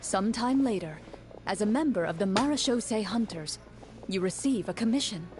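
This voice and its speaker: A woman playing a composed game master, narrating a fantasy quest in a measured, dramatic storytelling style. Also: medium pitch, animated, darkish tone, natural voice.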